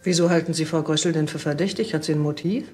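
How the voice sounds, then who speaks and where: An actress playing a sarcastic, exasperated police chief in a brisk TV drama style. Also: female voice, sits low, steady tone, a little mellow, faint music behind, lightly acted. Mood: curt, exasperated.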